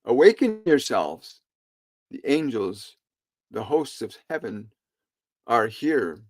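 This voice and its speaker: A man delivering a solemn spiritual sermon in formal, oratorical phrasing, breaking it into short, halting phrases with frequent pauses. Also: mid-range voice, sing-song, a little mellow. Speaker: spiritual channeler delivering a message.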